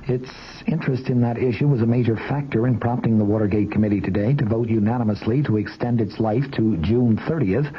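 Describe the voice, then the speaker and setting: A man reading a scripted 1970s radio news report as commentator, on a muffled, noisy old broadcast. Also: lowish voice.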